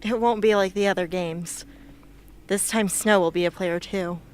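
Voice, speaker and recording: A woman's voice: mid-range voice, steady tone, moderate pace, natural voice. A woman playing a determined, defiant teenage girl soldier in an amateur radio drama. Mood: resolute. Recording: faint background noise and ambience.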